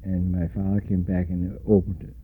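An older man from Denmark with a Danish accent, recalling his life in an oral history interview, pausing often and spelling out names letter by letter. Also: low-pitched, little pitch movement. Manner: slow, spells out names letter by letter, many hesitations. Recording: tinny lo-fi recording with faint hiss.

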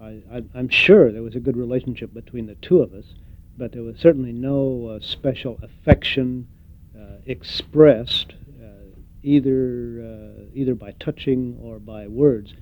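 A man's voice: mid-range voice, a little slow. Older man, hesitant, tinny recording. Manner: hesitant, reflective, many fillers. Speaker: interviewee. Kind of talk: oral history interview.

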